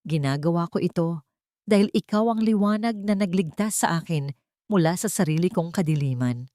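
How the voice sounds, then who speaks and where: A brooding, secretive romantic hero from an audio love story, acted out by a woman narrator. The voice is slightly low and speaks with solemn, earnest devotion. The recording is dry and free of room sound. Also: moderate pace, warm tone, no echo, lightly acted. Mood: tender, intense.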